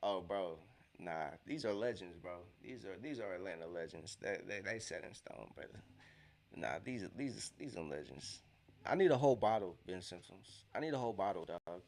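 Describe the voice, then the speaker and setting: A man's voice: low voice, expressive, moderate pace, vocal fry, a little mellow. A young man with a congested, nasal voice, casually reacting to a basketball game on a live watch-along stream, slangy and repeating himself.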